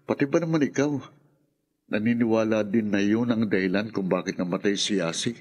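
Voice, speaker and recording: A man's voice: fairly low pitch, brisk, a little mellow, slightly rough. An actor playing a nervous young man who hides his guilt and talks in a defensive, stammering way. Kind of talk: radio drama acting.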